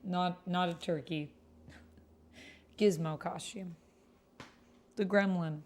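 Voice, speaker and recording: A woman's voice: fairly low pitch, steady tone, slow, a little mellow. A woman hosting a livestreamed text roleplay game, chatting directly with viewers and reading posts aloud.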